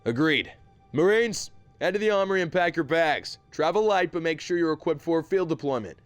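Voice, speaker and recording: A man's voice: a little high, expressive, a little fast, no echo, natural voice. Man acting as direct Marine captain over music. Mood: brisk, commanding. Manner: direct, commanding. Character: no-nonsense male Marine captain leading his squad. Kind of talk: audio drama acting.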